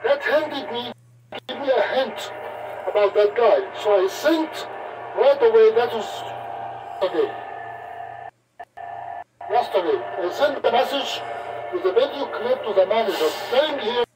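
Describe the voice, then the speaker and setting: A truck driver recounting events in a halting, repetitive vlog style, often acting out both sides of conversations. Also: medium pitch, expressive, noisy background. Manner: halting, repetitive telling with quoted dialogue.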